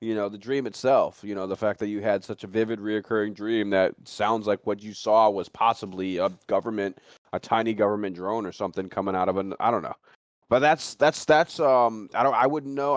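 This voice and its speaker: Low-voiced man rambling in slangy casual chat. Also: no room sound, clean studio sound. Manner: rambling, slangy, frequent swearing. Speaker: livestream host.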